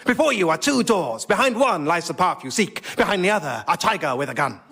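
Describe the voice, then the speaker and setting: A man's voice: high-pitched, very animated, a little fast, creaky, heavy background noise, heavily acted. A man with a British accent playing a fussy, exasperated riddle guard in a radio comedy sketch. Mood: portentous. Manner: portentous, then fussy.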